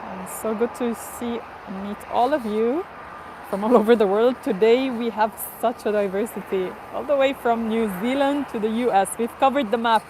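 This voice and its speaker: A woman's voice: on the high side, normal speed. Chatty woman streaming outdoors. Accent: non-native English, neither Portuguese nor Spanish. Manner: chatty, upbeat, laughs often. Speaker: livestream host. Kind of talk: casual live chat with viewers. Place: outdoors near an airport. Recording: outdoor live stream with background noise. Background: traffic and ambient noise.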